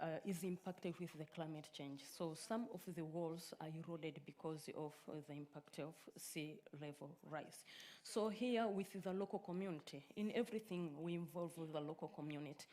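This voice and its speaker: A woman from Tanzania whose first language is Swahili, giving a conference talk in a halting way with many filler sounds. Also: deep voice, mellow. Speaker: conference speaker. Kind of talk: conference presentation.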